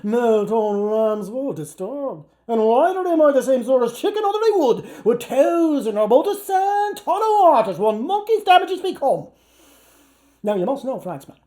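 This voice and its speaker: A man with an Irish accent giving an animated, declamatory stage reading of a novel, playful with the wordplay and shifting between hushed and loud delivery. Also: extremely high pitch. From Ireland or Canada. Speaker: actor performing a literary reading. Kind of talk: theatrical live reading of a novel.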